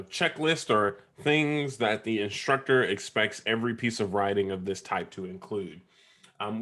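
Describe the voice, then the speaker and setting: A man with a stuffy sore-throat voice, presenting a webinar in a halting way, with many ums and uhs. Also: lowish voice, a bit bright. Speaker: software ambassador presenting a webinar. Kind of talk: casual presentation.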